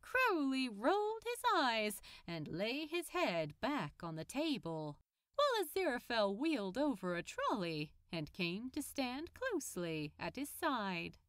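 A woman reading scripted fan fiction aloud as an expressive story narrator. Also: a little high, measured pace, clear, resonant tone, no echo, clean studio sound.

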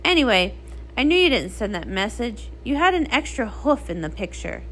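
A woman acts a cool, practical female pony from a comic story. The delivery is dry and matter-of-fact, with a varied pitch that often drops lower. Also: big pitch swings, moderate pace, a bit bright, a little hiss or hum. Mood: dry, serious.

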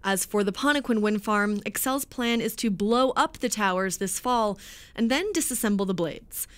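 A woman reporter giving a scripted narration for a news feature in a measured, even way. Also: mid-range voice.

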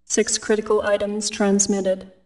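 A woman playing an impassive military computer, reading a briefing in a measured, impersonal way for a video game. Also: mid-range voice, monotone, a little mellow, distant mic, close to an everyday voice, low-quality recording.

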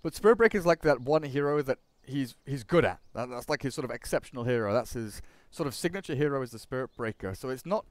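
Young English caster, bright clean voice, chatty and loose. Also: male voice, medium pitch, animated, no echo. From England. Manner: chatty, quick asides, repeats words. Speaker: esports commentator. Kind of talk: esports draft commentary.

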